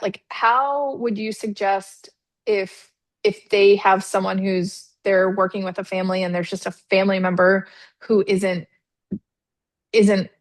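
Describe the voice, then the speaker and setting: A woman moderates an online lunch session in a loose, chatty way, leaning on fillers and quick laughs. Her voice sits mid-range and sounds close and dry. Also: mid-range voice, no room sound. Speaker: webinar host. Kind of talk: casual webinar moderating. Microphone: video call.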